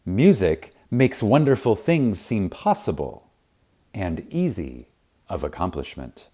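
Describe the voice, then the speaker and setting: A man narrating a spiritual audiobook in a reverent, emphatic manner, with deliberate pauses. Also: deep voice, sing-song, dark tone, husky. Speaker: audiobook reader. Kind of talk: scripted narration of a spiritual self-help audiobook.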